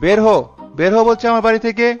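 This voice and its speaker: Man playing a high-pitched, scolding cartoon miser husband. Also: fast, close to an everyday voice. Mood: angry scolding. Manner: scolding, blunt, complaining. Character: stingy, grumbling newlywed husband, quick to scold. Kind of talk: cartoon dialogue acting. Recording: dull lo-fi sound with music behind.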